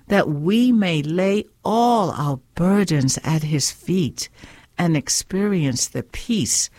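A woman hosts a faith radio show, talking slowly and earnestly as she preaches and reads scripture. Her voice sits a little low. The sound is dry and close. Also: dry recording.

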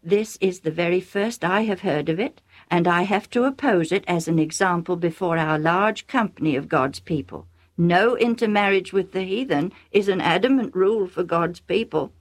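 A woman's voice: fairly low pitch, moderate pace, close to an everyday voice. A woman voicing a stern old father.

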